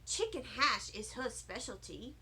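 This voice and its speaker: A woman plays a proud little schoolboy in a children's storybook. He sounds high and swoopy, with a naive, wide-eyed earnestness. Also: big pitch swings, a little slow, pushed and bright, exaggerated voice. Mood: earnest, proud. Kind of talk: children's audiobook read-aloud.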